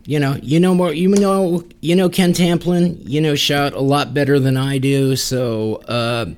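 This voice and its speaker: A man's voice: fairly high pitch, steady tone, unhurried, darkish tone, clear, resonant tone. Man in teasing, casual podcast chat. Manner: teasing, tongue-in-cheek. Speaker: podcast host.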